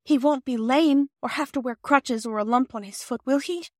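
A woman's voice: high-pitched, moderate pace, no echo, studio quality, pitched above the performer's own voice, lightly acted. A woman voicing an anxious, earnest young girl who frets and blames herself. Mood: fretful. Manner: earnest and fretful.

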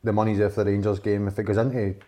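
Low-voiced man, frank and reflective. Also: little pitch movement, racing, mellow. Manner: frank, reflective, swears casually. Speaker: footballer, guest or co-host. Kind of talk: casual chat.

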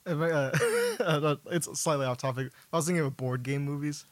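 A man co-hosting a podcast in casual chat, wry and halting, often chuckling as he talks. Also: a little high, very animated, no room sound. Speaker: podcast co-host.

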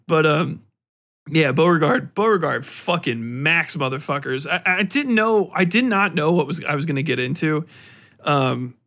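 A man talking casually as a podcast host reviewing books, slangy and full of swearing, animated and given to acting out mock exchanges. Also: fairly high pitch, normal speed. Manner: profane, slangy, informal. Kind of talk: casual rambling book review talk.

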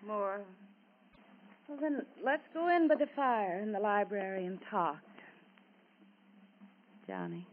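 A woman's voice: medium pitch, lively intonation, takes it slowly, pauses often. An actress playing a poised, flirtatious but guarded married woman in a vintage radio drama. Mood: tender. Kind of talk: 1950s-60s radio drama acting. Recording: tinny, rough vintage radio recording.

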